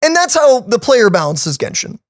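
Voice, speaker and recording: A man co-hosting a game reaction video, casually explaining stats with lots of fillers in an animated way. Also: extremely high pitch, moderate pace, no room sound, clean studio sound. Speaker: co-host of a game reaction channel.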